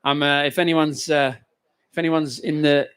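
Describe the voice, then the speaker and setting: A man's voice: fairly high pitch, fairly even intonation, moderate pace, a bit bright, clear, resonant tone. A man chatting between songs in a live radio session, introducing his band's song with a joking, self-mocking manner and plenty of fillers.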